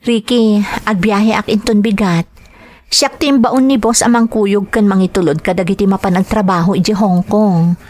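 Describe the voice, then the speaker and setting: A woman's voice: mid-range voice, fairly even intonation, normal speed, a little mellow, no room sound, natural voice. A woman with an Ilocano accent acting a busy, evasive young working woman in an audio drama. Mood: matter-of-fact.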